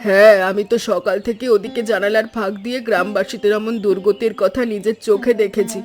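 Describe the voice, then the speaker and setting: Cartoon village wife, acted by a man: high voice, fretful yet caring, soft music underneath. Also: steady tone, quick pace, natural voice. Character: anxious, caring village wife named Sarojini. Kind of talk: animated moral story dubbing.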